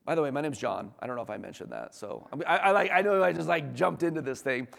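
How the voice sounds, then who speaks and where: A man's voice: fairly high pitch, expressive, quick pace, gravelly, fairly bright tone. Enthusiastic male presenter in a reverberant hall. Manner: enthusiastic, conversational, emphatic. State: slightly hoarse. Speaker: company executive presenting. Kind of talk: informal business presentation to an audience. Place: large room, talk before an audience. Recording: echoey hall with long reverb.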